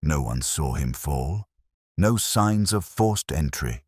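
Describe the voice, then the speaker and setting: A man narrating a literary detective story in the first person, in a measured, brooding and formal manner. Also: a bass voice, animated, darkish tone, husky, no room sound, studio quality.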